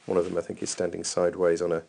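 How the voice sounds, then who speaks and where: A man's voice: deep voice, monotone, very fast, creaky, mellow, slight background noise. A man giving informal running technique feedback over a training video as a coach, thinking aloud in a hesitant way.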